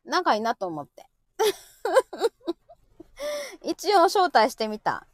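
A woman's voice: fairly high pitch, wildly swooping pitch, slow, no echo, studio quality. A woman with a Kansai Japanese accent hosting a live audio show with casual, teasing comic banter. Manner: teasing, chatty.

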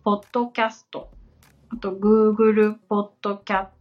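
A woman's voice: medium pitch, flat delivery, moderate pace. Female podcast co-host, polite and conversational, simple phrasing. Slightly lo-fi sound over background music. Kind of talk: conversational podcast talk, answering questions.

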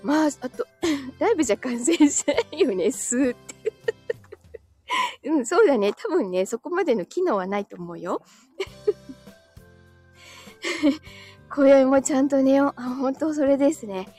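A woman with a high-pitched voice chats informally to her livestream viewers. She giggles often as she replies to comments, sounding tired and slightly breathless from a recent illness. Soft music plays faintly behind her. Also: lively intonation, a little slow, long pauses. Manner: giggly, often breaking into small laughs. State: recovering from illness, tired and a little short of breath. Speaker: live streamer and voice actor. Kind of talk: casual live-stream chat, answering viewers' comments.

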